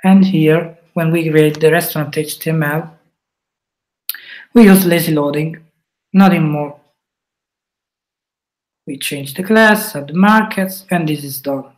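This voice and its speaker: Slow halting Italian man demoing code. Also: fairly high pitch, rough recording. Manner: halting, thinks aloud with fillers. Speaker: webinar presenter. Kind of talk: live coding walkthrough.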